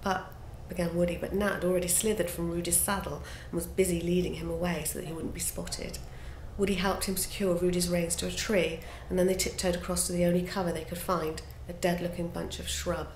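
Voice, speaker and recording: A woman author narrating a chapter from her own children's book in an expressive, lively reading style. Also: fairly low pitch, brisk, hardly any pauses. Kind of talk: storybook narration. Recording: roomy with background noise.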